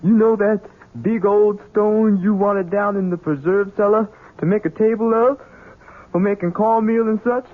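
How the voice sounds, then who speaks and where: An actor playing a simple, gentle but dangerous servant's son in a radio drama, halting and childlike. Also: male voice, sits high, fairly even intonation. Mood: agitated. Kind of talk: old-time radio drama acting. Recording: muffled vintage radio drama recording.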